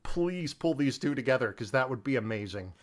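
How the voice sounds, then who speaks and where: A man co-hosting a podcast, chatting casually about film in an animated, halting way full of informal asides. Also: mid-range voice. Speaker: podcast co-host.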